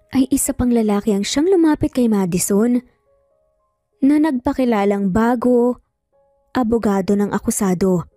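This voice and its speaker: Mellow woman narrating, higher-pitched voice. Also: no echo, clean studio sound. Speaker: story narrator. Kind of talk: scripted dramatic story narration.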